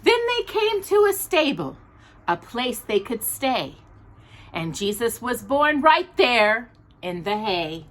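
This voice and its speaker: A woman hosting a children's storytime, reading aloud expressively in a deliberate storybook manner with casual asides. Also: a little high. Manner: animated, deliberate storybook delivery.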